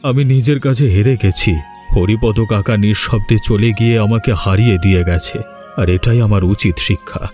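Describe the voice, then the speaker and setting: A man's voice: fairly low pitch, brisk, music in the background, close to an everyday voice. A man voicing a remorseful, humbled rich village landlord in a cartoon, speaking solemnly and confessionally. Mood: humbled, resolute. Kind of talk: Bengali cartoon voice acting.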